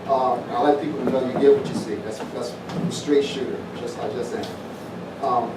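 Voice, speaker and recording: A man's voice: medium pitch, fairly even intonation, moderate pace. A middle-aged man from southwest Virginia, giving a plainspoken, informal speech to an audience at an evening community event. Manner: folksy, plainspoken, repeats phrases. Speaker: former pro football player announcing a festival. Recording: roomy, distant mic, noisy event.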